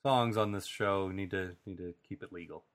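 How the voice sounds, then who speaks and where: A man co-hosting a podcast in casual chat, animated and quick to laugh. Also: deep voice, dry recording, clean studio sound. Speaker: podcast co-host.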